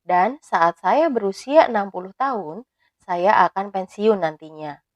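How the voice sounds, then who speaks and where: A woman in her thirties, a teacher, reading a prepared personal reflection in a measured, even way. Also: mid-range voice, dry recording, lo-fi sound. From Indonesia. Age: 30s.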